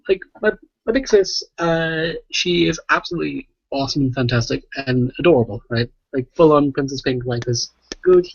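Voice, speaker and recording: A man hosts a casual podcast in a slightly rough, husky voice. He rambles through anecdotes with plenty of swearing and checks in with "right?". The recording is muffled and lo-fi. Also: fairly high pitch. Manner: sweary, animated storytelling with frequent "right?" tags. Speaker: podcast host. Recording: muffled, echoey voice-chat recording.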